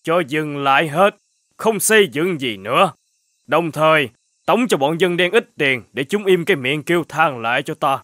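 A man's voice: on the high side, expressive, moderate pace, fairly bright tone, no echo, clean studio sound. A man dubbing a stern, commanding lord in a cartoon.

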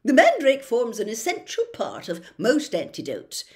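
A woman voicing a brisk, approving female herbology professor in a storybook, teacherly and emphatic. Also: on the high side, wildly swooping pitch, pitched above the performer's own voice. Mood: instructive. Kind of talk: dramatized storybook reading.

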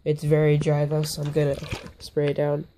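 A young man with a hoarse voice from being a little sick, casually rambling through a hands-on vlog from his floor, often stopping to correct himself. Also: fairly high pitch, completely flat delivery, normal speed, noisy background. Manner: rambling, self-interrupting, offhand. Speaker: bug-keeping vlogger. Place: indoors, on a carpeted floor.

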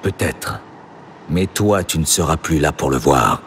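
A man's voice: a bass voice, animated, measured pace, a bit bright, a little hiss or hum, heavily acted. An actor playing a serious male warrior and father who speaks steadily. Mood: grave.

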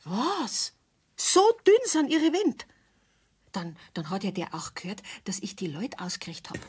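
A woman's voice: medium pitch, very animated, a little slow, slightly rough, heavily acted. An actress playing a chatty, nosy, fussy older neighbour woman with a Bavarian accent in a radio play. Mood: startled. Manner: gossipy, rambling.

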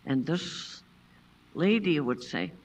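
An older woman who grew up speaking Passamaquoddy first, telling stories at a seminar and pausing to find her English words. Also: low voice, expressive. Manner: unhurried, pauses to find English words, laughs often. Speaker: traditional basket maker, seminar guest. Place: lecture room, seated at a table with a panel. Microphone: far room camera. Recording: distant voice in a lecture room.

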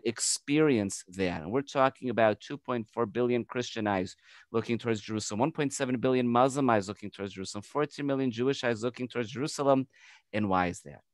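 A man in his forties with an American accent, a tour guide giving a virtual tour over a video call on a headset, talking quickly with frequent restarts. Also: medium pitch, no echo. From United States. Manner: fast, energetic, repeats words when restarting. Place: home by an open window.

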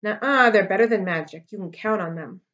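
A woman voicing a bossy, blunt young girl who scolds loudly, in a dull webcam recording. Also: medium pitch, brisk, close to an everyday voice. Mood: scornful.

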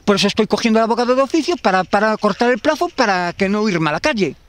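A man's voice: high-pitched, lively intonation, fast, a bit bright. A man in his fifties with a Galician Spanish accent, talking in a street interview outdoors, rambling and repeating himself with many restarts. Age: 50s. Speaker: tenant facing eviction. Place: outdoors in a garden. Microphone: handheld interviewer mic.

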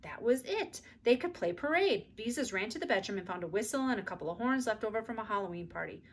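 A woman's voice: fairly high pitch, lively intonation, fast talker, a little hiss or hum. A middle-aged woman narrating a children's book expressively, reading aloud indoors at home. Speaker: read-aloud storyteller.

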